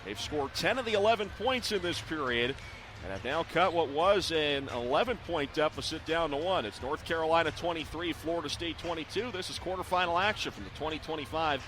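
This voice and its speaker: A middle-aged man calling live sports on the radio as a play-by-play announcer, in measured, clipped phrases. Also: fairly high pitch, animated, background noise.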